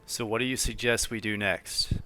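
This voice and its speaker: A man's voice: fairly low pitch, fairly even intonation, normal speed, sharp tone, soft background music. A man voicing a curious young soldier recruit, the hero of a video game, in a plain-spoken, questioning way. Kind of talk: amateur video game protagonist dub.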